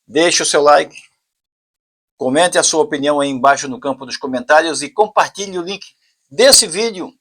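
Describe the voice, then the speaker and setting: A man with a Brazilian Portuguese accent giving an informal technical explanation as a tutorial presenter, haltingly, thinking aloud and repeating himself. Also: on the high side, fairly bright tone, husky.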